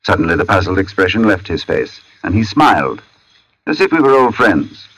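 An actor playing a brooding, polite gentleman narrator in a 1940s radio drama, measured, literary and intense. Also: sits low, sing-song, breathy edge, exaggerated voice, rough recording. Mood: haunted, brooding. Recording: old radio broadcast with music.

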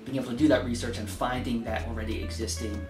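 A man's voice: medium pitch, steady tone, quick pace. A middle-aged man talking casually in an interview, explaining things as he thinks aloud. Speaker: author of a local history book, interviewee. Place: indoor rooms, office and home. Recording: roomy echo, music underneath. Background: instrumental music.

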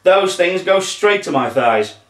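A man's voice: high-pitched, lively intonation, unhurried, voice pushed up. Man putting on a drawling laid-back zombie dude. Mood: chatty, relaxed. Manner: drawling slangy surfer talk. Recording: roomy, distant microphone.

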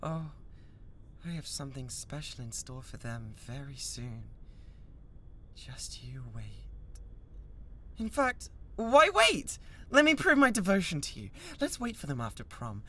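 A man acts out a lovesick young British male classmate with an unhinged devotion, in an ASMR roleplay. The voice is bright and tense, swinging widely between shy, halting talk and manic intensity. A faint low rumble sits in the background. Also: very animated. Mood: menacing, gleeful. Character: obsessive young male yandere classmate, awkward, lovesick, menacing. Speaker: voice actor. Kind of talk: dramatic ASMR roleplay monologue.

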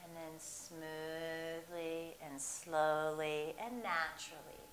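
A woman guiding a relaxation meditation, speaking in slow, soothing, drawn-out phrases with long pauses. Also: low voice, fairly even intonation. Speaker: meditation guide. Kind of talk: guided meditation. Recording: echoey room with faint hiss.

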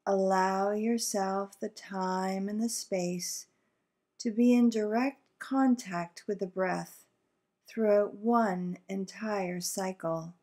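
Female guide for visualization meditation. Relaxed, warm timbre, very measured delivery, gentle and calming. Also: mid-range voice. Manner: soothing, gentle, deliberate with long pauses.

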